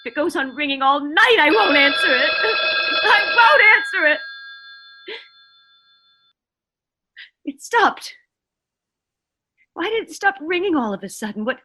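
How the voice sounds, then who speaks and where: A woman acting a nervous, demanding bedridden woman in a suspense radio play, fretful and haughty, given to exclamations. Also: high voice. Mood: rising panic.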